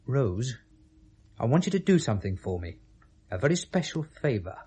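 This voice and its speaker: British male, 1970s radio play: defensive, insistent photographer, animated delivery, mid-pitched voice. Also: normal speed, breathy edge. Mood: quiet, probing. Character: proud, defensive male picture-postcard photographer under questioning.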